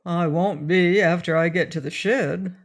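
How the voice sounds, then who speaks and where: A woman's voice: lowish voice, a little slow. A woman voicing an old sailor man who speaks plainly and easily. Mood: calm.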